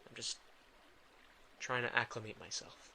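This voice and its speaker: Brooding male vampire for audio drama, played by a man: forward, bright voice, halting and defensive, clear background noise. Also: medium pitch, heavily acted. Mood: subdued, guilty. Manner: halting, defensive, stammering under pressure. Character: brooding, drained, guilt-ridden reluctant male vampire. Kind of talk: intimate audio drama acting.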